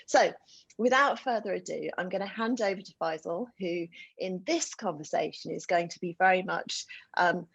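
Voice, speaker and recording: A woman hosts an online panel over a video call in a chatty, filler-heavy way. Her voice sits low and sounds husky with a gravelly edge. The audio is dull and lo-fi, like a muffled call connection. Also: moderate pace, no echo. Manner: warm, chatty, with frequent um fillers. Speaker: host and moderator.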